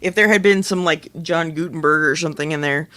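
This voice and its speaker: A man's voice: fairly high pitch, fairly quick, sharp tone. A man co-hosting a podcast, giving casual opinions in a tentative way with many qualifications. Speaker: podcast co-host. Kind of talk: casual discussion and review.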